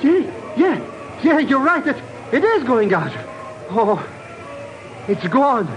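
An actor playing an excitable, anxious comic sidekick in a 1950s radio drama, stammering and repeating himself. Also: male voice, very high-pitched, takes it slowly, muffled recording, over music, full character voice. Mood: agitated, dismayed. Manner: flustered, stammering, repeats words. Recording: noisy vintage radio recording.

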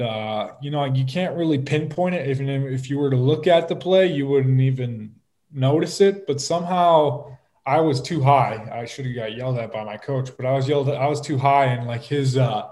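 A young man talking casually as an interview guest, relaxed and rambling, with plenty of fillers and restarts. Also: mid-range voice, moderate pace. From Minnesota. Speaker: guest, pro football player.

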